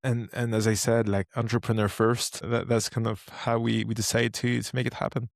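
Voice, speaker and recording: A man in his thirties with a French accent, speaking English as a second language, chatting as an interview guest. He talks in a relaxed way, thinking aloud with frequent restarts. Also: medium pitch, normal speed, gravelly, dry recording, clean studio sound. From France. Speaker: guest, startup co-founder. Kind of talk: casual interview conversation.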